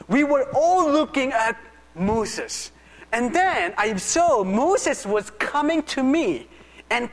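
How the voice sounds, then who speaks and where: A pastor acting out an anxious young Israelite, stammering, slow but lively pitch, echoing hall. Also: exaggerated voice. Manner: fretful, stammering, speaks to the audience directly. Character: Joshua, anxious young Israelite man assisting Moses. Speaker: pastor acting out a biblical figure in first person. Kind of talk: first-person dramatic monologue in a sermon. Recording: echoey hall reverb.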